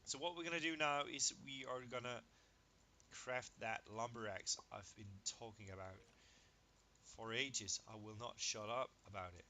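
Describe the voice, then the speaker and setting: A man with a Danish first language talks through his game in an easy, chatty way. He gets excited over each result and does sums aloud, over a clear steady hiss. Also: mid-range voice, moderate pace. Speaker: gaming video creator. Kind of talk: casual gameplay commentary.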